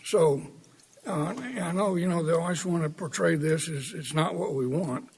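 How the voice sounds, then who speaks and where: A man's voice: on the high side, gravelly. A man giving a blunt, plain-spoken public comment at a meeting.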